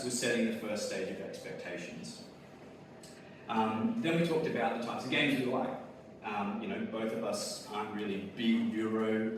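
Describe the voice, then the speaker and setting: A man giving a casual conference talk on game design, with many fillers and small laughs. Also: fairly low pitch. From Australia. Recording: echoey hall, speaker far from mic, audible noise.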